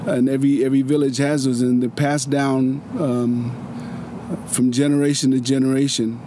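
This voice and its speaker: A man from Samoa with a dark, mellow voice talks through his stories patiently, restarting phrases as he goes. A dry sense of humor runs under his plain, relaxed delivery. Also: medium pitch, moderate pace, a little hiss or hum. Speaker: interview guest. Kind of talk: casual storytelling conversation.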